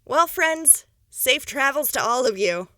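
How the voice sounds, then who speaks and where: A woman's voice: high voice, animated, unhurried, noisy background. A woman voicing a folksy, chatty townswoman. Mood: friendly.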